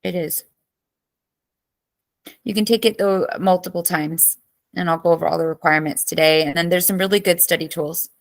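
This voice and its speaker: A woman's voice: lowish voice, steady tone, normal speed, gravelly, long pauses. A woman in her thirties teaching a live online class, chatty and greeting students by name, with her voice cracking as she loses it.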